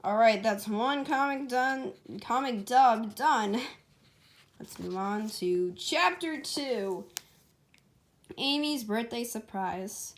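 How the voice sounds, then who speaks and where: A woman's voice: on the high side, takes it slowly. A woman reading a story aloud as its amateur author, in an informal way, occasionally stumbling over the text. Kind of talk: casual storybook read-aloud.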